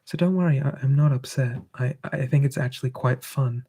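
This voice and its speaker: ASMR streamer, gravelly mellow whisper, close mic, easygoing chat. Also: medium pitch, brisk. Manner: soft, drifting between whisper and quiet voice, musing.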